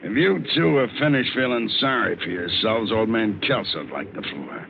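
An actor playing a tough, cynical smuggler captain with an American accent, blunt and domineering, in an old radio drama. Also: male voice, fairly low pitch, animated, normal speed, creaky. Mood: wry, domineering. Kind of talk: 1950s radio drama acting. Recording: noisy, narrow-band old radio broadcast.

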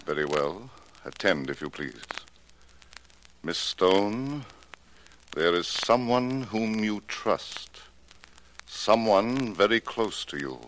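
An actor playing a suave, mercenary fake fortune-teller in a 1940s radio drama, speaking formally and with theatrical measure. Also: male voice, fairly low pitch, expressive, slow pace, long pauses, a bit bright. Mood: mysterious, solemn. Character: suave, mercenary male fake crystal-ball seer. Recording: noisy, clicking old radio transcription.

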